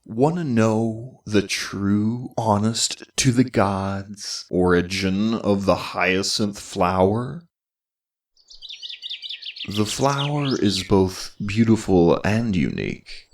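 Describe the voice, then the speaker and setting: Deep, slow-spoken male storyteller with creaky voice. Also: expressive, recorded from a distance, slight background noise. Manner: deliberate, dramatic pauses, dryly tongue-in-cheek. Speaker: podcast presenter and history professor. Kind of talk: scripted storytelling narration of mythology.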